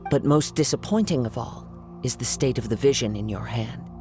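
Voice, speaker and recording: An actor playing a calm, perceptive young wandering samurai who speaks in a measured, formal way. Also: male voice, medium pitch, lightly acted. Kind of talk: video game voice acting. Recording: soft game music behind.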